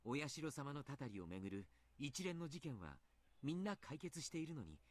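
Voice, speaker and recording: An actor voicing a mild, polite, teasing doctor in a Japanese visual novel, speaking in a courteous, measured manner. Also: male voice, mid-range voice, very animated, no room sound. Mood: grave, confiding. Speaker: doctor and baseball coach character.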